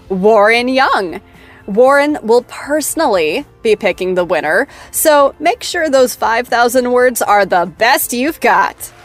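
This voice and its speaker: A bubbly, teasing talk show host. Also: female voice, a little high. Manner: playful, teasing, theatrical.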